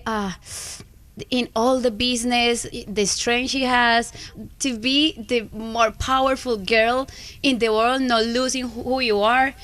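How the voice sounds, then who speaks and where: Colombian woman, Medellín accent, fairly high voice, halting English, radio guest chat. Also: a bit bright. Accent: Colombian Spanish, from Medellín. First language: Spanish. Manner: searching for words, many fillers and restarts. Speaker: guest singer. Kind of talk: casual radio interview.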